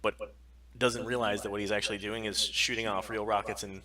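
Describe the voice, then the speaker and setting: A man's voice: fairly low pitch, level tone, fairly quick, pushed and bright, far from the mic. A man co-hosting a casual pop-culture live stream, talking in a sweary, enthusiastic, geeky way. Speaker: podcast co-host on a live stream.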